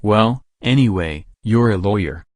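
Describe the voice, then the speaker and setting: A man's voice: fairly low pitch, lively intonation, measured pace, no room sound, studio quality. A man playing a kindly but stubborn old Santa Claus in a radio drama. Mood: sheepish, trusting.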